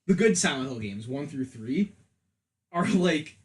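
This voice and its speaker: Guy on a podcast, slightly high voice, chatting casually, pretty opinionated. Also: very animated, a little slow. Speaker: podcast co-host. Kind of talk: casual film and game discussion.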